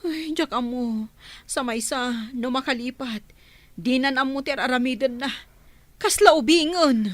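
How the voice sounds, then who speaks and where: A woman's voice: high voice, unhurried, pauses often, no echo. A woman playing a worried grown daughter in a radio drama. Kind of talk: Filipino radio drama acting.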